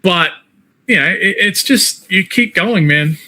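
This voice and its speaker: An Australian man in a casual interview, with a fairly high, bright and forward voice. He is chatty and informal, speaking in a loose, conversational flow. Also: animated, measured pace. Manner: chatty, peppers talk with "man" and "like". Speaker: interview guest, wrestler and game streamer.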